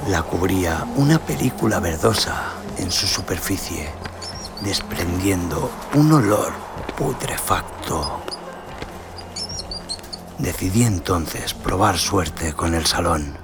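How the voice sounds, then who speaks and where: A man narrates a dark western tale in a very low, gravelly voice. He takes his time, brooding and theatrical, weighing each phrase. Clear music plays behind him. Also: lively intonation. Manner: brooding, deliberate, theatrical, with hushed dips. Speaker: audio story narrator. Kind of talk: dramatic scripted narration of a western horror tale. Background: cinematic sound design and music.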